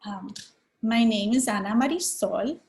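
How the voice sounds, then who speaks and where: Unhurried woman presenter from El Salvador. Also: fairly high pitch, a little mellow. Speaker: early-education presenter. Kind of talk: training webinar presentation.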